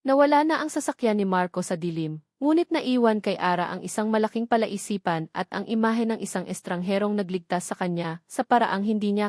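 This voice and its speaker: Woman story narrator, mid pitch, scripted romance drama read, really dry recording. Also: darkish tone, no echo.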